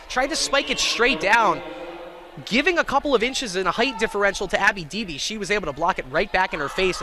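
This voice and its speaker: A man calling live college volleyball play-by-play in an excitable, self-deprecating way. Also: high-pitched. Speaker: play-by-play sports commentator. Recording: clear gym noise, bouncing balls. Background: indoor volleyball match ambience.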